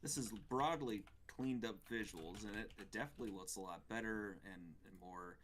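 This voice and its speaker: Guy co-hosting a gaming show, lowish voice, measured and hedging, casual review chat. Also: a bit bright, a little hiss or hum.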